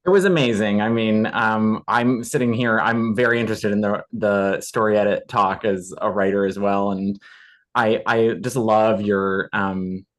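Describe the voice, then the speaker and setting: A man with a fairly low voice gives feedback in a casual chat. He sounds informal and appreciative in the way he puts things. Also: a little slow. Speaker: actor and writer on a panel.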